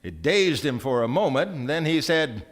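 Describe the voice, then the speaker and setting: An actor as storyteller, narrating a live radio drama with measured, theatrical phrasing. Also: male voice, fairly high pitch, very animated. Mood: storytelling. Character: storyteller narrating a wry small-town tale. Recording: live stage recording before audience.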